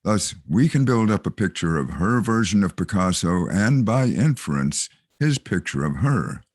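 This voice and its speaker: A man's voice: deep voice, sing-song, moderate pace, no echo, studio quality. A man reading scripted narration to introduce a stage monologue in a theatrical way. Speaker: narrator, voice actor.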